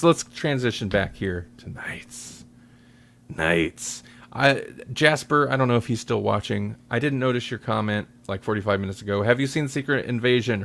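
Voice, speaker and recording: A man hosting a casual podcast chat, often halting and thinking aloud as he talks. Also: fairly low pitch, vocal fry, a bit bright. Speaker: podcast host.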